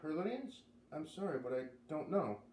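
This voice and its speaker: A man's voice: on the high side, sing-song, normal speed, darkish tone. Man reading as an earnest, hesitant young farm girl, roomy. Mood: nervous. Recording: roomy, distant mic, faint noise.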